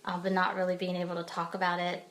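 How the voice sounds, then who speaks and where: A woman from Pennsylvania speaks as a podcast guest, her voice low and her pitch held fairly level. She talks hesitantly, stopping often and filling gaps as she tells her own story. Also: completely flat delivery, fairly bright tone. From Pennsylvania, United States. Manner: hesitant, many pauses and fillers. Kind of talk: personal testimony in a podcast interview.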